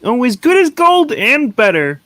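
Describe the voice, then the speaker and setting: A man's voice: very high voice, wildly swooping pitch, measured pace, pushed and bright, no echo, exaggerated voice. A man playing a kindly, affectionate, genial family father in a radio play reading. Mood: cheerful, affectionate. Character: Bob Cratchit, kindly, gentle family father.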